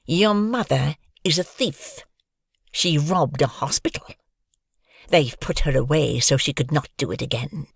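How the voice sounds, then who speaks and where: A narrator voicing a spiteful teenage boy bully who sneers and whines in drawn-out words. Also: mid-range voice, gravelly, husky, dry recording, clean studio sound. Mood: sneering.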